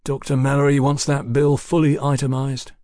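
A man's voice: mid-range voice, level tone, normal speed, dark tone, no room sound, voice pushed up, close to an everyday voice. A man voicing a stern, wary policeman in an audiobook, curt and dry. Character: wary, gruff policeman companion, stern and sceptical.

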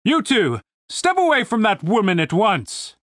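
A narrator voicing a stern, righteous male commander with a booming, formal and pompous manner in an audiobook. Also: sing-song, measured pace, fairly bright tone, dry recording, clean studio sound. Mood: outraged.

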